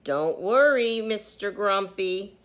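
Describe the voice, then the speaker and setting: A woman's voice: medium pitch, expressive, slow pace, fairly bright tone. A woman voicing a cheerful, overconfident, accident-prone female storybook gardener with a breezy, reassuring manner.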